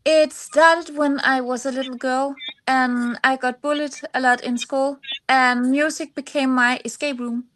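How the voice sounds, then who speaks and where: Casting: Danish woman singer-songwriter as interview guest, high voice, Danish accent, hesitant non-native phrasing. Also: fairly even intonation, fairly bright tone, slight background noise. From Denmark. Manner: halting, searching for English words. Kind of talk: personal interview answers.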